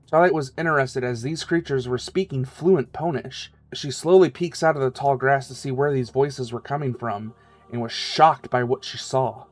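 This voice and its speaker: A man reading scripted fiction as the narrator of a story. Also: medium pitch. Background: music.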